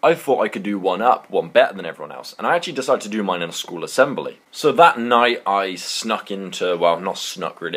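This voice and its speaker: Low-pitched young man, British accent, casual YouTube storytelling, rambling, slangy, animated. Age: young adult.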